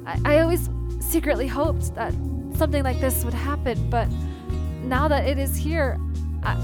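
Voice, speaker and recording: A woman playing a nervous, awestruck alien scientist who speaks in halting wonder in an audio drama monologue. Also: high-pitched, music in the background. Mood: nervous, thrilled.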